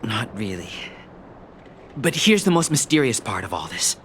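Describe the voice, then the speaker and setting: An actor voicing a nervous, earnest amateur mechanic in a video game, hesitant and stammering. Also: male voice, fairly low pitch, sing-song, moderate pace, fairly bright tone, slight background noise. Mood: puzzled.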